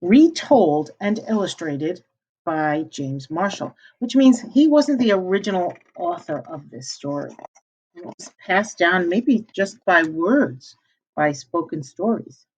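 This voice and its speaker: A woman teacher reads a picture book to children with very animated intonation. She stops to pose simple questions and answers them herself. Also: on the low side, slow, breathy edge, dull audio. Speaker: teacher reading aloud to children. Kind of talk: storybook read-aloud with teaching asides.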